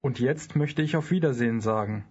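Guy saying lesson phrases slow and careful, raspy, muffled tape. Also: medium pitch. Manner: careful, deliberate pronunciation. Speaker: native speaker model in a language course. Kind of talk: scripted phrase repetition. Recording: dull lo-fi studio recording.

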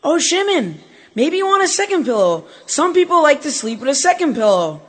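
A man's voice: extremely high pitch, big pitch swings, a little fast, voice pushed up. A man voicing an eager, generous child who coaxes insistently. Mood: coaxing. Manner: insistent, coaxing.

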